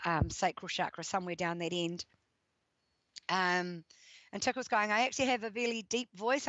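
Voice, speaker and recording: A woman's voice: mid-range voice, no room sound, lo-fi sound. Chatty woman hosting an online radio show. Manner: chatty, animated, laughs often, thinks aloud. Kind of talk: casual live radio chat reading listener comments. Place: at home.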